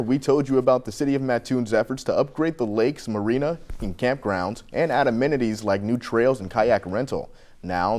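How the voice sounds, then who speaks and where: A man's voice: mid-range voice, a little mellow. An American man reading scripted local news as an anchor.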